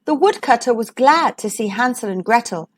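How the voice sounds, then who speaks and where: A woman reading a storybook aloud for young children in an expressive, deliberate way, as host and narrator. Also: on the high side.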